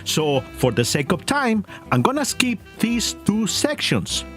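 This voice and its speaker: Accented guy doing a scripted commentary video, mocking tone, lively up and down voice. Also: high-pitched, a little slow, faint music behind. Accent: non-native English. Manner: mocking, reads legal text aloud. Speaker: commentary youtuber. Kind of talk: scripted commentary reading documents.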